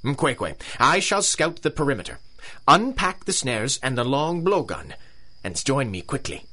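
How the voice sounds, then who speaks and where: An actor playing a pompous, ruthless big-game hunter with an English accent in a pulp radio drama, grandiose and scornful. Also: male voice, medium pitch, very animated, measured pace, pushed and bright, heavily acted. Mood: cold, scheming.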